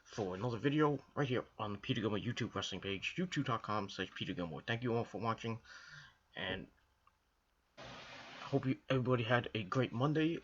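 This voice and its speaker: Hoarse man rambling about wrestling. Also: medium pitch, muffled recording. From Northeastern US. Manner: rambling, repetitive, frequent restarts and fillers. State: hoarse, coming down with a cold. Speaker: wrestling video reviewer. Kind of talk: casual solo commentary to camera.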